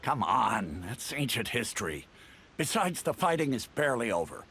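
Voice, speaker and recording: A man's voice: medium pitch, big pitch swings, normal speed, sharp tone, slight background noise. A man playing a friendly, easygoing villager who talks in a chummy, reassuring way. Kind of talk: video game voice acting.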